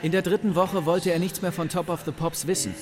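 A man's voice: sits high, fairly even intonation, fairly quick, natural voice. A man giving scripted, anecdotal narration in a German radio play. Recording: music behind the voice.

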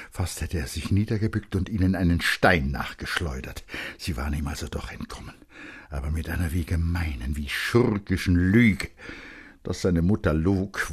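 A man narrating a literary story dramatically and intensely, with pointed pauses and phrases that sink into a hush. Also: deep voice, husky.

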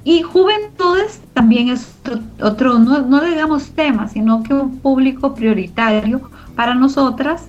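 A woman with a fairly high voice explains her work in a spontaneous radio interview. The sound is a little dull, with soft music underneath. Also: measured pace, hardly any pauses. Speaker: community radio producer being interviewed.

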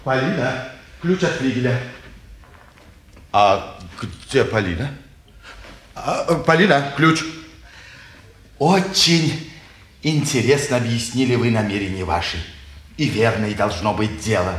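A man's voice: medium pitch, slow pace, long pauses, fairly bright tone. An actor playing a courteous, talkative townsman who explains things sententiously in an old stage style. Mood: busy, opinionated. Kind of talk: mid-century Russian stage acting. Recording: roomy 1950s theatre recording.

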